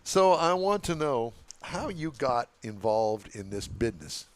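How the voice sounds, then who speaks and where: A man's voice: medium pitch, sing-song, a little slow, slight background noise. Folksy man hosting car talk. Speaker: car talk show host. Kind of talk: casual live radio chat. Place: remote show setup at a car event. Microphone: headset microphone.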